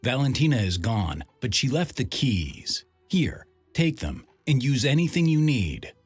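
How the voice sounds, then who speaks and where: A man voicing a helpful police chief in a mystery game, with a husky, authoritative and encouraging manner. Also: mid-range voice, very animated, a little slow, over music. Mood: approving.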